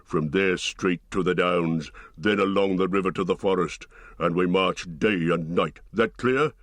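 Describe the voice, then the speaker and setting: A man voicing a huge, brutal orc captain with a deep, growling voice and slow, blunt commands in an audiobook. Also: no room sound. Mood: commanding. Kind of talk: audiobook character voice.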